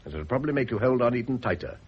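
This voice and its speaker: An actor playing a cynical, witty, well-off British husband in a radio drama, speaking with urbane irony. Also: male voice, mid-range voice, animated, rapid-fire, husky. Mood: cynical, philosophising. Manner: urbane, ironic, epigrammatic. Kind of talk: classic radio drama acting. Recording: muffled, noisy old radio recording.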